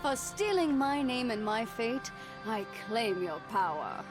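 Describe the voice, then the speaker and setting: A woman's voice: on the high side, big pitch swings, a little slow, over music. An actress voicing a joyous, boastful young woman warrior in a video game, grand and theatrical, proclaiming her fearlessness. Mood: grand, solemn. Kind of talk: video game champion voice acting.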